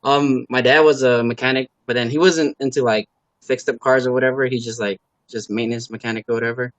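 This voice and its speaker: A man from Los Angeles, a native Khmer speaker, chats as a guest on a live stream. He talks in a relaxed, halting way, stacks up fillers and circles back on himself. His voice sits in an ordinary middle pitch, and the recording is dry, with no room sound. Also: no echo, low-quality recording. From Los Angeles, USA. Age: adult parent. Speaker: guest, car builder and YouTuber. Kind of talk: casual interview chat.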